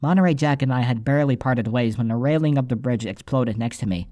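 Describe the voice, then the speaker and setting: A man's voice: mid-range voice, fast talker, darkish tone, slight background noise. A man reading scripted first-person story narration as an audiobook narrator.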